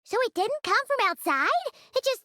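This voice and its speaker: Squeaky, piercingly high little fairy. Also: female voice, big pitch swings, normal speed, sharp tone, full character voice. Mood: curious, puzzled. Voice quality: squeaky, childlike. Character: tiny childlike floating fairy companion, curious and perky. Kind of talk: cartoonish game dialogue.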